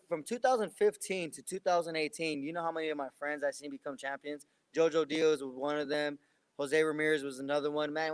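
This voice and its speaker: A man's voice: on the high side, clean tone, no room sound. A young man in his twenties, a boxer, answering interview questions in a loose, rambling way with many restarts. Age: 20s. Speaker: professional boxer.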